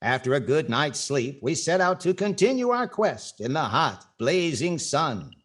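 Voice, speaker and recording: A man's voice: on the high side, big pitch swings, unhurried, fairly bright tone, heavily acted. A man playing a wry 1940s radio comedian, narrating with dry, mock-serious delivery. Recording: music behind the voice.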